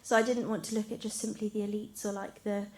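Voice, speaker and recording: A young woman talks informally as a student panelist about research. Her voice is mid-pitched and mellow, moving very little in intonation. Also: level tone, fast talker. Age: young adult. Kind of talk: informal explanation of own research.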